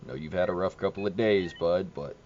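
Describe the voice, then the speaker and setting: A man playing a plainspoken small-town police officer in an audio horror drama, speaking in a measured way. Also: sits low, darkish tone, natural voice. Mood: gentle, concerned. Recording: dull lo-fi audio, faint noise.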